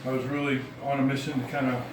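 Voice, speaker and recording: Male, casual hands-on explainer, halting delivery, off mic in an echoey, noisy room. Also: medium pitch, level tone, far from the mic. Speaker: musician demonstrating PA gear. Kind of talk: casual explanatory demonstration chat. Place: dining room at home, indoors. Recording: roomy, noisy home recording off mic.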